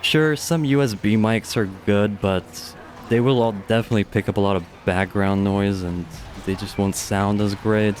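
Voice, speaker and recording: A young man with a deep, low voice casually commentates a live strategy game match from his home streaming setup. His delivery is chatty and relaxed, with occasional offhand swearing. A faint ambient hum sits beneath the close studio microphone sound. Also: moderate pace. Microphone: studio dynamic microphone.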